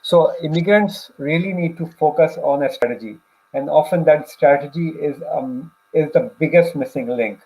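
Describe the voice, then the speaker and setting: Bright-spoken male livestream host, somewhat high voice. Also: normal speed, low-quality recording. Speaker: co-host of a career advice livestream for immigrants. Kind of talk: conversational livestream discussion.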